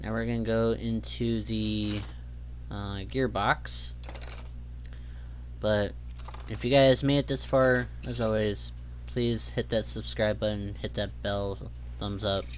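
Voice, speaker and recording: A young man talks casually over his gameplay, drifting from point to point and filling the gaps with plenty of ums. His voice sits a little low and his delivery is slow and unhurried, and a faint hum runs under the recording. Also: flat delivery. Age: young adult. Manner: rambling, filler-heavy, easygoing. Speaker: gaming channel host.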